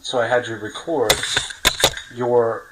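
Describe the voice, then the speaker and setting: A man's voice: medium pitch, level tone, slow pace, very noisy. A middle-aged man hosting a podcast, reminiscing casually in detail and correcting himself as he goes. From New York City. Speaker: podcast host.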